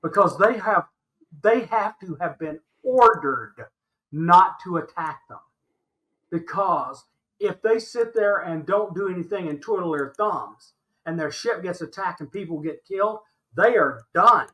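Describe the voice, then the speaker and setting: A man's voice: high-pitched, animated, a little slow, a bit bright, poor audio. An older American man of about seventy, talking emphatically and insistently as an interview guest, repeating points for stress. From Alabama, United States. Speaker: interview guest recounting his experiences. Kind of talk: animated conversational argument.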